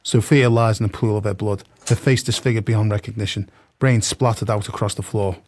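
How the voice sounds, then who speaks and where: A man's voice: fairly low pitch, steady tone, brisk, darkish tone. A man reading scripted stage directions as narrator in a detached, matter-of-fact way.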